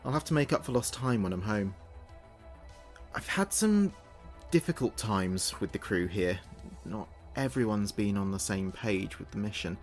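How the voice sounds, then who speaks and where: A man playing an earnest spaceship communications officer, speaking his log in a measured, precise way for a sci-fi audio drama. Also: on the low side, animated, faint music behind, close to an everyday voice. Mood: tender, wistful.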